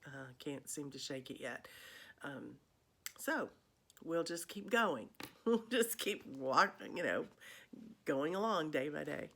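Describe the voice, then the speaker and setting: Woman, low voice, a bit congested, casual vlog chat, rambling and chatty. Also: expressive, slow pace, creaky, pauses often, a bit bright. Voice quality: slightly congested, froggy. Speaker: vlog host. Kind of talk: casual chat to viewers about cross stitch.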